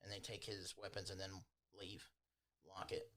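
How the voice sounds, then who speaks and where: A man's voice: sits low, monotone, moderate pace, bright, forceful tone. A man running a tabletop game as game master, narrating in an informal, improvised, chatty way. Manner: informal, chuckles while narrating.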